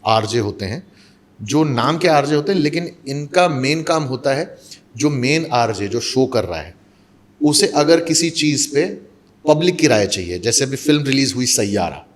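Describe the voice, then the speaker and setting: A man from Mumbai, an actor and voice-over artist, telling stories in a casual interview and mixing Hindi with English. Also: medium pitch. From Mumbai, India.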